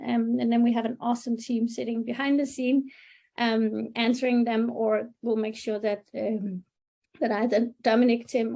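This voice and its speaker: Upbeat woman, higher voice. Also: creaky, darkish tone. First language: Danish. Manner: upbeat, chatty, searching for words. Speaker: product marketing manager. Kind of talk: webinar presentation.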